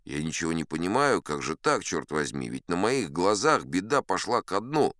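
A man's voice: low voice, sing-song, moderate pace, a bit bright, no echo, clean studio sound. An actor playing a boastful old sea captain, telling his tall tales in a folksy, self-assured, conversational way. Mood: musing. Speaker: audiobook performer narrating in character. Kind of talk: dramatic first-person storytelling.

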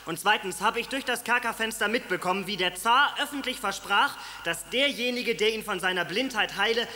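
A man's voice: high-pitched, brisk, sharp tone, a little hiss or hum. An actor playing a cheeky, clever young fisherman with a sly, mocking manner in a radio drama.

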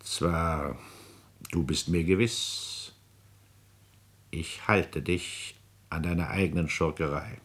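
A man's voice: deep voice, fairly even intonation, takes it slowly, long pauses, noisy background. An actor playing a scheming, domineering aristocratic father and minister in a classical radio drama, with imperious, calculating, declamatory delivery. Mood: stern, commanding.

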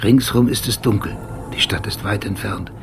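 An actor playing an obsessive, brooding police detective who recounts memories precisely in short sentences, in a radio drama. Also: male voice, fairly low pitch, moderate pace, vocal fry, background noise. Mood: brooding, remembering. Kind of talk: scripted radio drama monologue.

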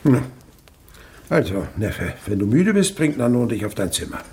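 An actor playing an old, miserly winegrower, wily and falsely humble, in a German radio drama. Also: male voice, medium pitch, very animated, normal speed, a little mellow, a little hiss or hum. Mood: brusque, wheedling. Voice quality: gravelly.

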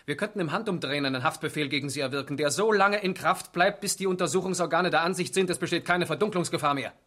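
A police interrogator in a seventies East German radio thriller. His voice sits fairly high for a man. He speaks in a clipped, authoritative way with dry sarcasm. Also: quick pace, bright, forceful tone. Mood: stern. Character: stern, dryly sarcastic male police interrogator. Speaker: actor. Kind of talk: 1970s East German radio crime drama acting.

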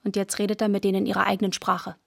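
Wry village lady telling what happened, radio play, very dry sound, medium pitch. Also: monotone, rapid-fire, vocal fry, no echo. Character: wry villager recounting the Russians' arrival. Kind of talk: first-person account in a radio play.

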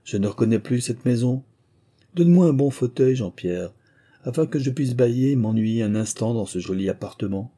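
A man's voice: medium pitch, expressive, a little fast, mellow, lightly acted. A man voicing a doleful female rain spirit from a storybook, with a lamenting, drawling delivery. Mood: mournful, languid. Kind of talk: storybook character voice.

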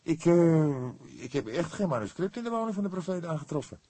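Man acting young male detective, audiobook crime story, high-pitched, emphatic, protesting, loud and animated. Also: a little fast, husky, no echo. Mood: hesitant, defensive. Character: eager young male police detective, easily irritated.

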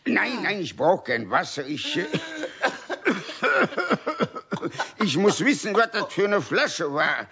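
A fussy middle-aged craftsman uncle, husky and slightly high for a man, with a very lively swing in his delivery. He is kindly but easily exasperated, in an old muffled radio play. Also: moderate pace, heavily acted. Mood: flustered. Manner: animated, exasperated yet kindly. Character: fussy, good-hearted, flustered uncle and craftsman. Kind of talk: German radio play acting for children. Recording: dull lo-fi old radio recording.

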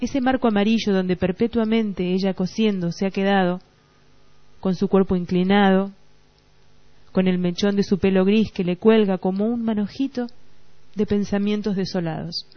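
A woman's voice: mid-range voice, fairly even intonation, moderate pace. A woman radio host, reading literary prose on air. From Argentina. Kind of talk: reading a short story aloud on a literary radio show. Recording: dull lo-fi radio recording.

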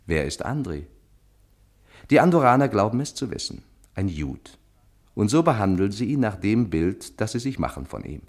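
A man's voice: lowish voice, very animated, moderate pace, darkish tone, slightly rough. A man giving a scripted, declamatory introduction to a play as its announcer.

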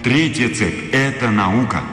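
A man's voice: mid-range voice, a little slow, fairly bright tone, natural voice. Stern male professor actor in an echoing hall. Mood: fervent, solemn. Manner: formal, declamatory. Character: stern, authoritarian German philosophy professor and rector. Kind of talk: radio play acting. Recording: long hall reverb, music underneath.

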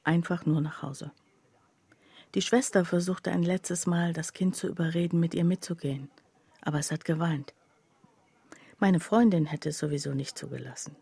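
Radio play: reflective, loyal friend telling the story, soft dark husky tone, dry studio sound. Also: female voice, lowish voice, steady tone, normal speed, pauses often, dry recording. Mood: weary, confessional. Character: reflective woman narrator, loyal, envious friend of accused mother. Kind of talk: first-person radio play narration.

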